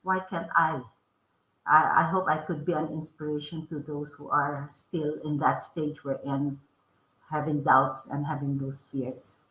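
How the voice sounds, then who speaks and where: A middle-aged woman with a strong Filipino accent speaks earnestly, often stopping to restart a sentence. Her voice is bright and a little low for a woman. The room echoes around her. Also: flat delivery, a little slow. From Philippines. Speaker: podcast guest, author. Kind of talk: interview answers.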